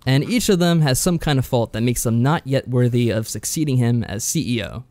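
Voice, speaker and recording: A man's voice: medium pitch, a little fast, a little mellow, no echo. Male podcast co-host, understated and dry, casual review chat, recorded with very little room sound.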